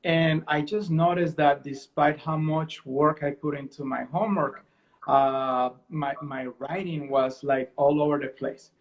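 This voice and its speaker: A man hosts a video-call chat, his voice a bit higher than most men's. He tells stories in a loose conversational way and often corrects himself mid-sentence. The sound is dull and lo-fi. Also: steady tone, measured pace. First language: Spanish. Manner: conversational, storytelling, self-correcting. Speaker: host. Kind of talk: casual video-call interview.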